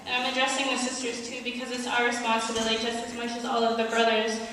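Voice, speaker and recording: A woman's voice: a little high, completely flat delivery, fast, a bit bright. A woman giving an earnest, emphatic prepared speech as a committee member, appealing to her community to get involved. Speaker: activist committee member giving closing remarks. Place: large indoor hall at an Islamic center. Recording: echoey hall, distant mic, faint noise.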